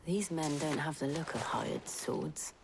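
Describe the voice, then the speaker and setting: Low-voiced young female companion, calm, serious, watchful, fantasy game, noisy background. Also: measured pace, creaky. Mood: quiet concern. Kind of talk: cinematic fantasy game acting.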